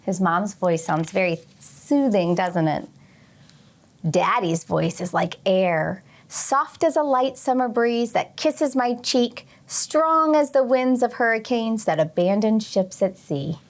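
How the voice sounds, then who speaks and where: A woman teacher reading a picture book aloud to young children expressively, pausing for short teaching asides. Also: mid-range voice, expressive. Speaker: teacher and read-aloud host.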